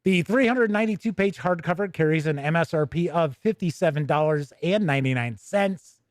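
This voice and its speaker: Casting: American man, middle-aged gaming show host, slightly high voice, chatty livestream delivery, close and dry sound. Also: a little fast, dry recording. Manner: chatty, conversational, with playful asides. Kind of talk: casual livestream commentary.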